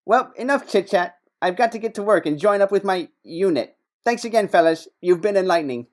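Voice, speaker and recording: A man's voice: high voice, animated, brisk, a little mellow. A man playing a dry, inquisitive private detective in a noir audio play. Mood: casual probing. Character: wry male private detective undercover in a slaughterhouse.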